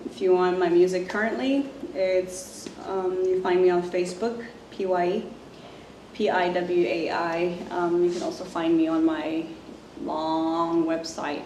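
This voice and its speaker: A woman speaks softly and haltingly, filling her pauses with ums. Her voice sits low and mellow with little rise and fall. She sounds set back from the mic in an echoey room with a faint hiss. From Zimbabwe. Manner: soft-spoken, halting, many fillers. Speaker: musician. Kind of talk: casual self-introduction to camera. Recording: roomy, distant mic, faint noise.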